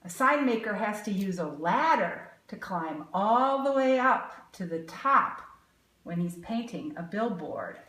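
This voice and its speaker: A woman reading a children's picture book aloud expressively, pausing to put questions to young listeners with exaggerated emphasis. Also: mid-range voice, big pitch swings, fairly bright tone. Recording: roomy, echoing sound.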